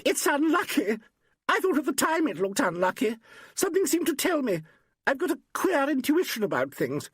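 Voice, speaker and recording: A man's voice: very high voice, big pitch swings, normal speed, fairly bright tone, no room sound, clean studio sound, pitched above the performer's own voice, heavily acted. A man voicing a hysterical, superstitious young woman in a novel, exclaiming breathlessly and dramatically. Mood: alarmed intuition.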